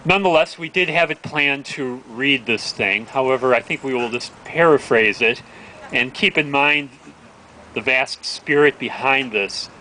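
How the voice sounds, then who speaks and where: A man with a bright, forceful voice hosts an outdoor gathering in a town plaza. He speaks informally and earnestly, urging people on. Faint street and traffic noise runs behind him. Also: mid-range voice, rough recording. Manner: earnest, exhortatory. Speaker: event host introducing readers. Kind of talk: informal public address at an outdoor rally.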